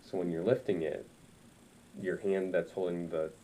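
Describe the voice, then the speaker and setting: A man in his twenties with a very low, dark and relaxed voice talks informally to camera as a reviewer. He rambles a little and feels his way through his points. The recording is made indoors with faint noise behind him. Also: moderate pace, poor audio. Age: 20s. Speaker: reviewer of audio gear. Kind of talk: casual review chat to camera. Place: small room indoors.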